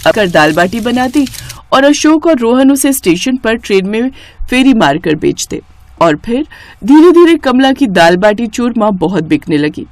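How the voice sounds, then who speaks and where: A woman's voice: a little high, very animated, unhurried, a little mellow, no room sound. A woman narrator reading a scripted children's moral story.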